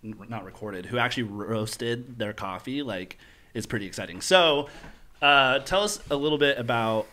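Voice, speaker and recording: A man's voice: mid-range voice, animated, moderate pace, sharp tone. Young man, podcast host, upbeat, loose and chatty, mid-range voice. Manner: enthusiastic, filler-heavy. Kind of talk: casual chat. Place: podcast studio.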